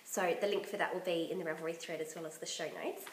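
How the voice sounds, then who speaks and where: A woman hosting a knitting podcast in casual chat, her voice croaky from bronchitis, chatty and enthusiastic. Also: on the low side, steady tone, quick pace, reverberant, a little hiss or hum. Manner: chatty and enthusiastic, laughs often.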